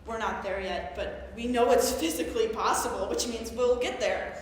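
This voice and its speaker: A young woman on stage playing an earnest, geeky woman obsessed with physics in an animated, wistful monologue. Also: fairly high pitch, moderate pace, natural voice. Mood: explanatory. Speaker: actor in a staged play reading. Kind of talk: theatrical monologue, explanatory. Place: live staged reading at a conference. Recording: very echoey hall, faint noise.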